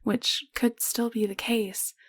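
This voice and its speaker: A woman playing a caring, level-headed alien medic in an intimate audio roleplay, speaking gently and explaining things. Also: fairly high pitch, level tone, slow pace, dry recording. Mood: thoughtful, explaining. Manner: gentle, explanatory, hushed at times.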